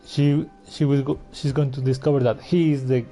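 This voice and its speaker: A man's voice: mid-range voice, flat delivery, moderate pace, over music. A man giving casual, informal, sweary commentary while he plays and reacts to a video game. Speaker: gamer playing and reacting on video.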